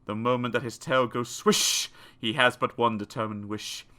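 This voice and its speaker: A man narrating children's comic poems in a theatrical, expressive storytelling style. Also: mid-range voice, moderate pace. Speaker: audiobook narrator. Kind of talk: dramatic children's poetry reading.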